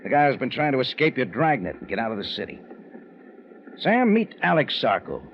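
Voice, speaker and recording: A man's voice: mid-range voice, very animated, fairly quick, slight background noise. An American man playing a tough, wry adventurer in an old radio detective drama, telling his case in clipped slang. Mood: confident, wry. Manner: clipped hardboiled slangy delivery. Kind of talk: 1940s-50s radio detective acting with first-person narration. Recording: dull old radio recording with music.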